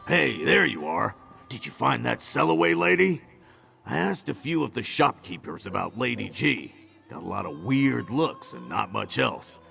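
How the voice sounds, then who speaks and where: A freed prisoner turned adventurer in a fantasy game, with a very gravelly, bright edge to his voice. His talk swings widely up and down, dry and joking. The lines come across as gruff game dialogue. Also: medium pitch, lively intonation, normal speed, soft background music, exaggerated voice. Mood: casual. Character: wry freed male prisoner, tough adventurer.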